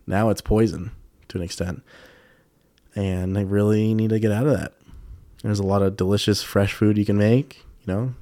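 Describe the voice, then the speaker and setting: A man's voice: deep voice, vocal fry, dark tone, dry recording, studio quality. A young man with a slightly congested voice, hosting a solo podcast in a loose, candid, rambling way with plenty of fillers and the odd swear word. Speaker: podcast host. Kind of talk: casual solo podcast chat.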